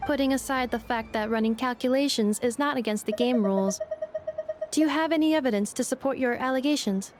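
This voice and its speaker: A woman's voice: fairly high pitch, brisk, darkish tone, lightly acted. A woman voicing a composed, literal-minded young robot woman in a video game, formal and matter-of-fact. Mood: calm, innocent. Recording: game music behind the voice.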